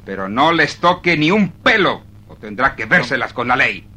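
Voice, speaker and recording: An actor playing a hot-tempered, indignant customer in a radio play, haughty and sputtering with exclamations. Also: male voice, medium pitch, very animated, normal speed, background noise, natural voice. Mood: indignant, furious. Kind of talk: 1970s-80s Spanish-language radio theatre acting.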